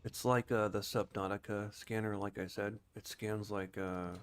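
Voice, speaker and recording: A man's voice: fairly low pitch, level tone, normal speed, clear, resonant tone. A man giving casual video game commentary as a streamer, rambling and musing to himself.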